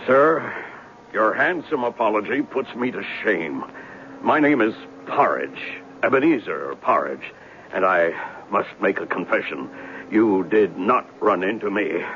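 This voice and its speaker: A man's voice: fairly low pitch, lively intonation, a little slow. An actor playing a pompous, jealous fat man in a 1970s radio drama, speaking in grandiose, blustering phrases. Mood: grandly contrite. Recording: muffled, hissy 1970s radio broadcast recording.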